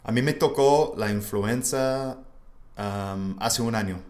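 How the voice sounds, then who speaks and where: Low-voiced male podcast host, native English speaker. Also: takes it slowly, darkish tone. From United States. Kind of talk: casual educational podcast conversation.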